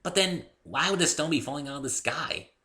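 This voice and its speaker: A man's voice: fairly high pitch, animated, fairly quick, bright, forceful tone, pitched above the performer's own voice. A man voicing a bubbly young female cartoon pony, friendly, scatterbrained and sweetly rambling, in a storybook reading. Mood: chirpy, silly.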